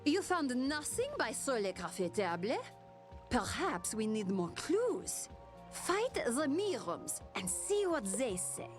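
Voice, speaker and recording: A woman's voice: a little high, very animated, takes it slowly. A woman playing a stern, theatrical quest character in a fantasy video game. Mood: urgent, commanding. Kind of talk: scripted game dialogue. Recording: game music behind the voice.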